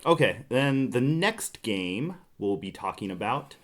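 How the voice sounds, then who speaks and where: A man's voice: medium pitch, big pitch swings, unhurried, fairly bright tone. A man hosting a casual board game discussion, jokey and teasing as he explains things. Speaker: podcast host.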